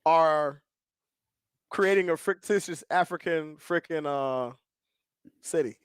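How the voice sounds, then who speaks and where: A man's voice: a little high, takes it slowly, clean tone, no echo, clean studio sound. A man co-hosting a casual podcast, teasing and bantering with the host.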